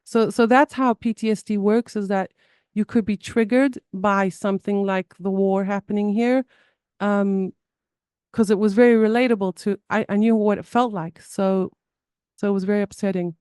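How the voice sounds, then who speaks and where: A woman's voice: mid-range voice, fairly even intonation, normal speed, a little mellow, clean tone, dry recording, clean studio sound. A woman with a South African accent, a therapist speaking earnestly in an interview, often restarting and repeating words. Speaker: guest, somatic therapist. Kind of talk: conversational interview.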